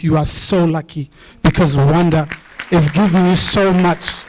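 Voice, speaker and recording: Enthusiastic man from Zimbabwe, higher voice. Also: darkish tone, slight background noise, low-quality recording. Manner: enthusiastic, emphatic. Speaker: businessman in audience. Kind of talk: comment from the floor.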